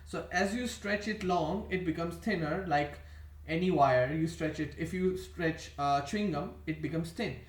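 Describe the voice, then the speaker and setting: A man's voice: on the high side, normal speed, roomy sound, recorded from a distance. A man with an Indian accent, whose first languages are Indian ones, rambling through a monologue to camera, often stopping to restart and correct himself. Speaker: vlogger.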